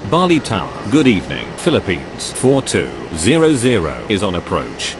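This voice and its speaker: Man doing deep game atc radio guy, very up and down pitch, clipped formal calls. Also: wildly swooping pitch, normal speed, background noise. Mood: businesslike. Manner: formal, procedural. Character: deep-voiced procedural airport radio operator in a game. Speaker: air traffic radio voice. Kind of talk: clipped aviation radio phraseology.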